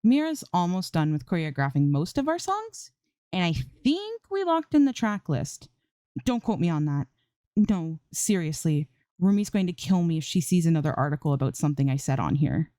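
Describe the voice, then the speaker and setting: A woman's voice: on the low side, very animated, normal speed, mellow, dry recording, clean studio sound. A woman voicing a bubbly, playful young gamer and pop idol in a story reading, with chirpy, whiny banter. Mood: offhand. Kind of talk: storybook character dialogue in audiobook reading.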